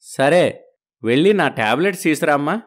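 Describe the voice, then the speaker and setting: A man's voice: a little high, lively intonation, moderate pace. A man playing a middle-aged father-in-law in a story, teasing and questioning in a familiar way. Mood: probing.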